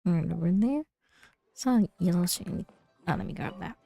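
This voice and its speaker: A woman's voice: lowish voice, brisk, vocal fry, mellow, slightly rough. A woman game streamer giving casual gameplay commentary, thinking aloud as she goes. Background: faint game music.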